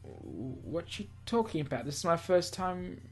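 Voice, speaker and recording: A gaming host voicing a puzzled, serious young genius woman in a visual novel, questioning in a drawn-out way. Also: medium pitch, big pitch swings, vocal fry, faint music behind.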